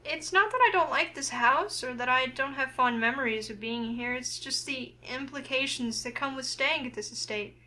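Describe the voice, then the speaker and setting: A woman with a high, bright voice reads a story game aloud. She moves between narration and acted dialogue for the heroine. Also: normal speed, few pauses. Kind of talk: reading a visual novel aloud, narration and heroine's lines acted.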